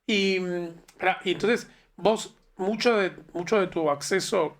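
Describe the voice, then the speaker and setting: Gravelly man hosting an interview. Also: high-pitched, a little slow. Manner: relaxed, joking questions. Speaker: interview host. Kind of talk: casual interview.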